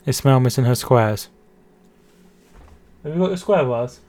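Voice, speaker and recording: A man's voice: mid-range voice, animated, a little slow, darkish tone. A man hosting a casual football watch-along stream from home, reading out chat and calling the match. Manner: chatty, repeats words and restarts while reading chat.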